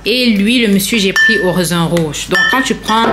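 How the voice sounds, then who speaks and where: A woman's voice: medium pitch, lively intonation, moderate pace, heavy background noise. A woman chatting casually and explaining things directly to viewers in a vlog. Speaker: lifestyle vlogger. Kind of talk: casual grocery haul vlog narration.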